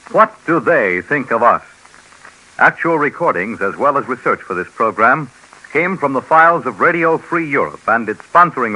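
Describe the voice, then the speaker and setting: Very bright, lively male radio announcer. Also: medium pitch, low-quality recording. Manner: crisp, declamatory, formal. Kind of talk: formal 1950s radio announcing. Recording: 1950s radio recording.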